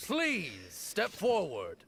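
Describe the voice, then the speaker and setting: An actor playing a solemn, authoritative master of ceremonies in a video game, speaking in a stately, formal, commanding manner. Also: male voice, high-pitched, huge pitch swings, very slow, gravelly, crowd noise, exaggerated voice.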